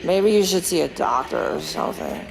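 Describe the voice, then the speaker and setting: Woman playing fed-up streetwalker, lowish voice. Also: expressive, normal speed, creaky, crowd noise, heavily acted. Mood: bitter. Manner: blunt, confrontational. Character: fed-up, defiant, streetwise female prostitute. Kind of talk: audio drama dialogue.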